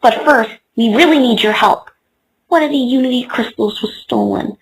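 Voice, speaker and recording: A woman's voice: fairly high pitch, moderate pace, husky, rough recording. A woman voicing an earnest, eager young pony in a comic fan dub.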